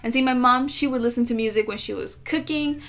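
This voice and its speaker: An American woman vlogs in a casual, chatty way, playfully exaggerating her complaints. Her voice sits a little high. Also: brisk, music in the background. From United States. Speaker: travel vlogger. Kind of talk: casual storytelling vlog.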